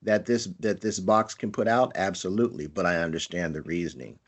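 Male co-host, lower voice, calm and reasoned in casual podcast talk. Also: brisk, breathy edge. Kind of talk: casual chat.

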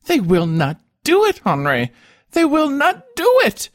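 Man as a tearful, high-pitched spoiled wife. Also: wildly swooping pitch, unhurried, no echo, clean studio sound, exaggerated voice. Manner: broken, trembling, pleading, childlike. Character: wilful, spoiled, tearful wealthy young wife.

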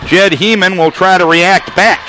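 A man calling a basketball game as the radio play-by-play announcer, with rapid calls that climb into shouts on big plays. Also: sits high, steady tone. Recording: live gym broadcast with crowd noise.

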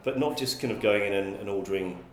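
A man speaking in a fairly low voice, talking through his answers in a measured way. He often restarts and repeats words as he thinks. The sound is echoey, with a faint hiss behind him. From England. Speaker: guest, magazine publisher. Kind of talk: conversational interview answers.

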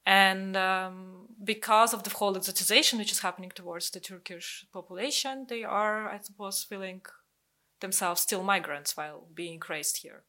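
Bright-voiced woman. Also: mid-range voice, moderate pace. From Russia. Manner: animated, full of "like, you know" fillers. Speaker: discussion participant. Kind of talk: casual discussion.

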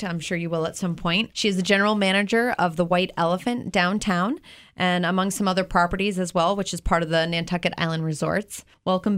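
A woman with a slightly low voice speaks as an interview host. She moves between prepared introduction and conversational questions, addressing her guest directly. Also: a bit bright.

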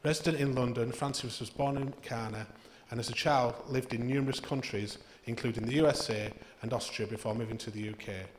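A man's voice: mid-range voice, fairly even intonation, fairly quick. A male host introduces the next author at a live literary evening. He sounds formal and announcing, in a middling register. The room is roomy and echoey, with a faint hiss underneath. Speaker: event host. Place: live literary event venue.

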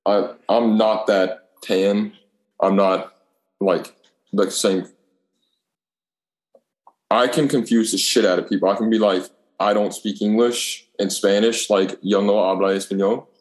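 Low-voiced man in echoey room. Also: fairly even intonation, measured pace, a little mellow. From Alabama, United States. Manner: profane, slangy, acts out dialogue. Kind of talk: casual chat, telling rambling anecdotes.

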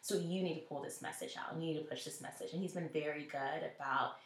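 Low-voiced woman in an echoey room. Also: fast. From Nashville, Tennessee. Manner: earnest, reflective, quoting others in anecdotes. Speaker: interview guest, school network executive. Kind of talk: conversational interview answers. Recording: roomy echo.

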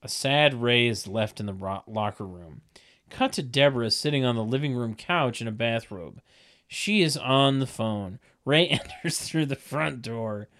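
A man's voice: mid-range voice, animated, unhurried, fairly bright tone. A man reading stage directions as scripted narration for a comedy table read, literal and tongue-in-cheek.

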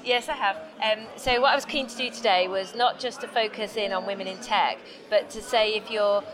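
Woman tech director giving an interview at a conference, confident and upbeat, loud crowd behind. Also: mid-range voice, steady tone, a little fast. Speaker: company technology director. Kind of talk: conversational interview. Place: busy conference venue. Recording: busy crowd noise behind. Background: crowd chatter.

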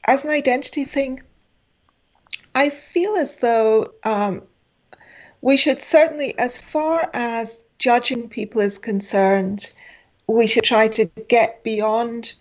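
A woman's voice: on the high side, lo-fi sound. A woman in her forties, a guest in an interview, speaking with many fillers and restarts. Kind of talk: conversational interview.

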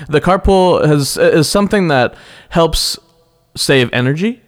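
A man's voice: a little high, animated, measured pace. Upbeat, chatty male podcast host. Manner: upbeat, chatty, laughs often, rambling. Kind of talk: casual interview chat. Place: his home.

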